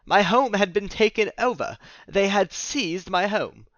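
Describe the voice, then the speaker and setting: A man playing a flustered, confused, nature-loving man who speaks haltingly in formal words in an audio drama. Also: high voice, fairly bright tone, dry recording, dull audio. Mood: agitated, insistent. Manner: halting, stammering, formal wording.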